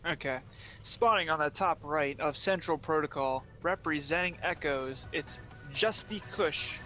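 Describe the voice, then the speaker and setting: A young man from Pennsylvania giving steady, explanatory play-by-play commentary on a strategy game. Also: fairly high pitch, measured pace, soft background music. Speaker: play-by-play caster. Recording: faint background noise.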